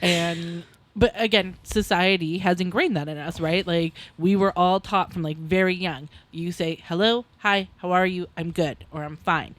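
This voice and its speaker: A woman's voice: lowish voice, steady tone, moderate pace, no echo. A woman in her thirties co-hosting a podcast, blunt and casual, swearing freely and laughing often. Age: 30s. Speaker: podcast co-host. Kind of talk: casual chat.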